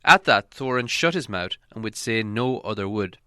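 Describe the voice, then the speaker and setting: A man narrating a fantasy storybook as an audiobook reader, with measured, dramatic delivery. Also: lowish voice, lively intonation, pushed and bright, smooth, dry recording, clean studio sound.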